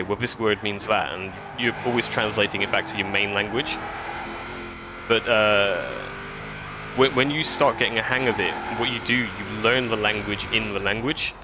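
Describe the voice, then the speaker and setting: A man from Scotland with a lowish voice, chatting with viewers as he races in a game. He talks in halting bursts, repeating words as he restarts sentences, with loud music and engine noise running behind him. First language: English. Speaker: racing game streamer. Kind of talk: casual chat while live streaming a racing game. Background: music and race car sounds.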